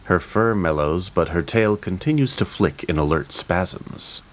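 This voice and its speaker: A man as storyteller, reading scripted, descriptive narration for a fantasy audio drama in a measured manner. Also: low-pitched, faint music behind. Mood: calm, descriptive. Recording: rain ambience noise behind the voice. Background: rain ambience.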